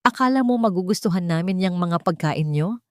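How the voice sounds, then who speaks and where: A woman's voice: on the low side, expressive, a little fast, no room sound, studio quality, close to an everyday voice. A woman voicing a spoiled, contemptuous young sister-in-law with a Filipino accent in a story, sneering and dismissive. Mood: sneering contempt.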